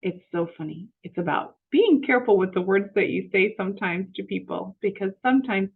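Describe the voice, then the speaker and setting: A woman reading a picture book aloud to children, expressive and explanatory, pausing to ask questions. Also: mid-range voice, vocal fry, breathy edge. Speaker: children's story reader. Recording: muffled narrow phone-like audio.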